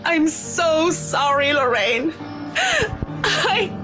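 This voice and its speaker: A woman's voice: high-pitched, animated, drawn-out words, heavily acted, low-quality recording. A woman playing a shaken, apologetic young woman in a visual novel, hesitant, subdued and polite. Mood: distressed, guilty. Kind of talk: dramatic visual novel acting. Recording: music under the voice.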